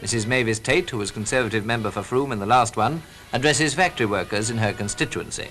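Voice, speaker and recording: A man's voice: medium pitch, quick pace, a bit bright. 1940s British newsreel narrator, male, over music. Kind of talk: scripted 1940s newsreel commentary.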